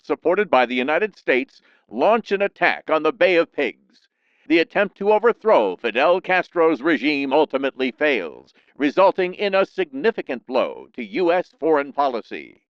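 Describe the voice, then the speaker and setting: Smooth, animated male newsreel announcer, slightly muffled. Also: a little high, no room sound. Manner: dramatic, measured announcer delivery. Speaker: newsreel narrator. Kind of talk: scripted narration, 1960s newsreel announcing.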